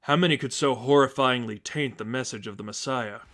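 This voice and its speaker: A man's voice: mid-range voice, fairly quick, fairly bright tone, a little hiss or hum. A man reading fan fiction aloud as a scripted story narrator.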